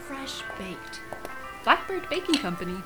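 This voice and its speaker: A woman's voice: high voice, big pitch swings, a little slow, over loud music. A woman playing an arch, pointed middle-aged wife who coolly needles her friend in an audio drama. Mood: hostly, pointed.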